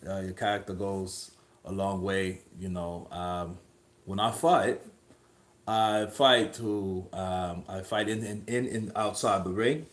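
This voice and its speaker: A man doing a soft-spoken motivational boxer. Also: low-pitched, steady tone, slow, pitched below the performer's own voice. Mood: earnest.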